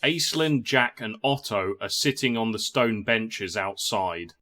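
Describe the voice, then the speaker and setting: A man's voice: on the low side, a little slow, sharp tone. A man reading scripted narration for an audio drama.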